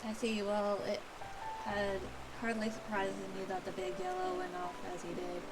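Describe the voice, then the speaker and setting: A woman voicing a level-headed, caring engineer chief from a fantasy video game in a measured, matter-of-fact way. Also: mid-range voice, over music, natural voice, poor audio. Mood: steady, reassuring.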